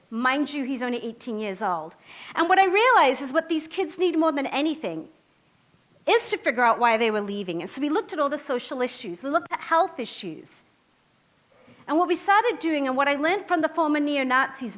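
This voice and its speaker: High-voiced woman telling a story. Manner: animated and conversational, acting out the people she quotes. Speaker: public defender and symposium speaker. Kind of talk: storytelling talk to an audience. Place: lecture stage before a live audience.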